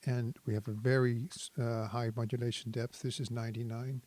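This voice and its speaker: A man giving a casual technical tutorial, thinking aloud in a hesitant, halting, analytical way. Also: medium pitch, level tone, darkish tone. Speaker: synthesizer demonstrator.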